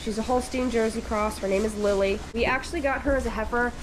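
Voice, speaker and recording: A woman's voice: fairly high pitch, steady tone, fast talker. A woman who works on a dairy farm, talking casually to viewers with a stuffy, congested voice from a cold. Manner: chatty, talks straight to viewers. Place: dairy barn, with calves and cows. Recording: noisy barn recording.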